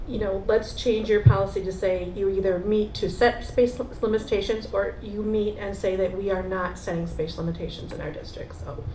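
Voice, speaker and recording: A woman's voice: mid-range voice, darkish tone. Woman explaining policy in an echoey room. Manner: explanatory, with restarts. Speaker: school administrator. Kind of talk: board meeting report. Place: meeting room. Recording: echoey room, faint hiss.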